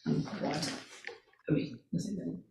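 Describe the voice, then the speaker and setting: A woman taking an online singing lesson, answering her coach in soft, brief replies. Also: low-pitched, steady tone, unhurried, gravelly, reverberant. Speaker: opera singer student.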